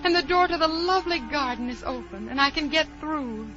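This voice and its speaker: A woman's voice: high-pitched, animated, fairly quick. An actress playing a curious, outspoken, polite little girl in 1940s radio drama style. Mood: wondering. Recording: muffled 1940s radio recording, faint music.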